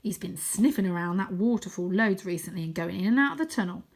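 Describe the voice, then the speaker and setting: A woman voicing an excitable, boastful, matey boy who is half wizard and half ninja in a children's storybook, with dramatic delivery. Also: mid-range voice, fast talker. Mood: confiding, urgent. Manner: dramatic, switching between shouts and whispers. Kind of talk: children's storybook character reading.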